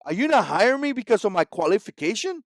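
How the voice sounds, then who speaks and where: A man in his forties speaks English with a Cuban Spanish accent, his first language being Spanish. His voice sits high for a man, and he hammers his points home with emphatic repetition. The recording is close and dry, with no room sound. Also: big pitch swings, fast talker, no room sound. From Cuba. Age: 40s. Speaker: vlogger. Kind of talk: opinion commentary vlog.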